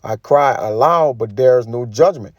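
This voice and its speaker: A man's voice: medium pitch, moderate pace, dry recording, lo-fi sound. A man giving an informal sermon, reading scripture and commenting in an emphatic, colloquial way with frequent tag questions. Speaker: preacher.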